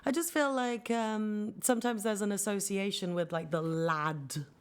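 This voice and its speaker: A woman's voice: mid-range voice, moderate pace, clear, resonant tone. A young woman hosting a casual podcast chat, talking loosely and trailing off mid-thought with fillers. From England. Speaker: podcast host.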